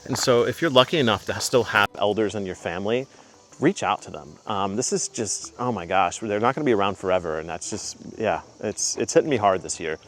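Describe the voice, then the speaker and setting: A man's voice: on the low side, lively intonation, brisk, fairly bright tone. A young man hosting his own channel, giving heartfelt spiritual advice in a casual, earnest way, with frequent ums and uhs. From United States. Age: young adult. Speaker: channel host.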